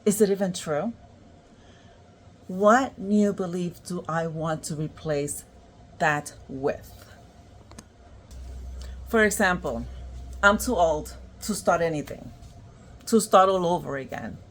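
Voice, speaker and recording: Woman with a New York accent, from Puerto Rico; unhurried motivational coach, lower voice. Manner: slow, encouraging, warm affirmations. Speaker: motivational creator. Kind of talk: motivational self-help talk.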